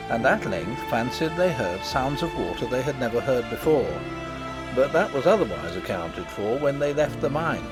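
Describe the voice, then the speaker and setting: A man narrating an audiobook in an expressive, dramatic storytelling style. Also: mid-range voice. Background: background music.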